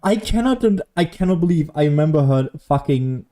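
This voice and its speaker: A man's voice: a little high, lively intonation, fairly quick, mellow. A young man giving casual gameplay commentary, rambling, sweary and self-deprecating. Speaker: game streamer. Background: faint game music.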